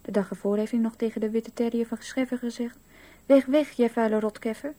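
A woman's voice: fairly high pitch, fairly even intonation, fairly quick, dark tone, lightly acted. An actress playing a naive, candid little girl in a radio drama, speaking in an artless, childlike way. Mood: curious.

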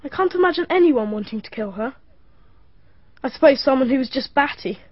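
An actress playing the victim's young sister in a BBC radio drama, speaking bluntly and dismissively. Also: female voice, high-pitched, animated, a little fast, husky, no room sound. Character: young girl, victim's sister, matter-of-fact. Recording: dry, dull archive radio recording.

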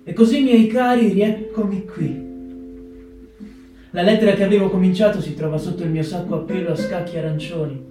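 An actor playing a compassionate wartime camp letter writer, in an expressive theatrical reading with dramatic pauses. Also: male voice, high-pitched, close to an everyday voice. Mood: tender, wry. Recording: echoey hall, distant mic, loud guitar. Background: live plucked guitar music.

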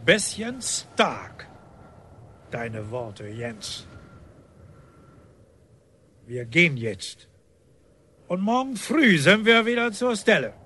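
A blunt, disgruntled militiaman in a radio drama. His mid-range voice is tense and bright, delivered at a slow pace, with faint noise behind it. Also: sits high, big pitch swings, heavily acted. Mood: firm, reasoning. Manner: grumbling, blunt. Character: disgruntled, grumbling militia man, weary of a pointless war.

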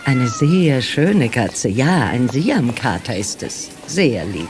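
An elderly grandmother in a children's radio drama. She is fussily polite and hesitant, stammering as she goes. Her pitch swoops up and down freely, over faint background noise. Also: very animated, slow pace, heavily acted. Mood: fussy, polite. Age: older. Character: polite, flustered elderly grandmother returning a lost cat. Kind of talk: German children's radio play acting.

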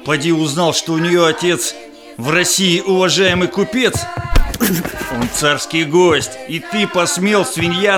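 A man playing a menacing, scornful enforcer in an audio drama, delivering harsh verse. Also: fairly high pitch. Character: menacing, scornful male enforcer threatening a suitor.

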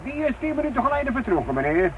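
An actor playing a talkative, helpful village station attendant in a Dutch radio play, polite and folksy. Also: male voice, very high-pitched, big pitch swings, very fast. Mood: chatty, obliging. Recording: muffled, noisy lo-fi radio drama recording.

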